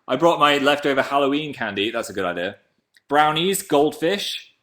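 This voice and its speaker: A man in his twenties from England with a deep voice, chatting playfully on a webcam livestream while reading comments. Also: lively intonation, normal speed, fairly bright tone. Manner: playful, jumps between high and low pitch. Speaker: livestream host. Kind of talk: casual live chat reading comments.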